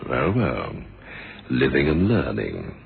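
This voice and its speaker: A man's voice: rumbling low, wildly swooping pitch, slow, creaky, phone-quality sound, full character voice. A man acting a shrewd, courteous but suspicious police inspector in a radio drama, drily wry and formal. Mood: wry, probing. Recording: thin band-limited old radio, noisy.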